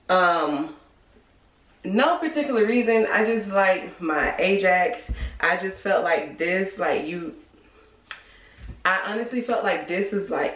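An American woman in her thirties chatting informally to viewers, like a vlogger giving a casual product review. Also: fairly low pitch. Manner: informal, conversational, speaking to viewers. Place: small room at home, indoors. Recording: roomy, echoey indoor sound.

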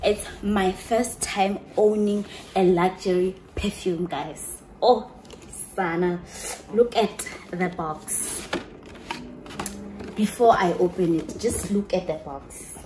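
Woman vlogger, young, unhurried and gushing, faint room hiss behind. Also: mid-range voice, warm tone, rough recording. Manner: chatty, gushing, slips into local phrases. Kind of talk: casual chat to camera, showing perfumes.

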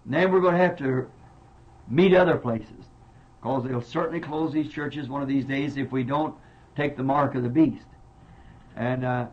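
Male pastor, Southern American accent, fairly high voice, earnest plain-spoken sermon, dull lo-fi old church tape. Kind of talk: sermon answering congregation questions. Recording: dull lo-fi 1960s tape recording.

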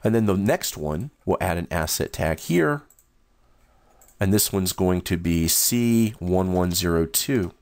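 A man walking through new software features in a steady, explanatory screen-recorded demo. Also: low voice, measured pace, no room sound. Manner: steady, explanatory, first-person demo narration. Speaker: software demonstrator.

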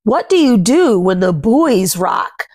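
Slow-paced woman reading a storybook aloud. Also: mid-range voice, big pitch swings, darkish tone. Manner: simple, deliberate phrasing with frequent questions to the listener. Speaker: children's read-aloud host. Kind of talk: storybook read-aloud with commentary and questions to young listeners. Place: small furnished room at home.

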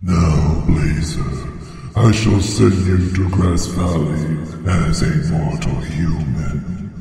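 A man's voice: fairly even intonation, vocal fry, dark tone, full character voice. A man voicing a grand, solemn god who slips into silliness, in an amateur game fandub. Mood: solemn, tongue-in-cheek. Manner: slow, grand, booming pronouncements. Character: solemn godlike Master sending a hero forth, slipping into silliness. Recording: echoey hall reverb, noisy.

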